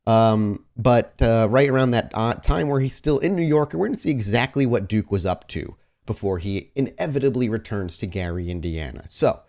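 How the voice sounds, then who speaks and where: A man's voice: mid-range voice, lively intonation, normal speed, hardly any pauses, a little mellow. A man speaking casually as host and game master of a tabletop roleplaying podcast, chatting and setting scenes. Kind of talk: casual chat moving into improvised scene narration.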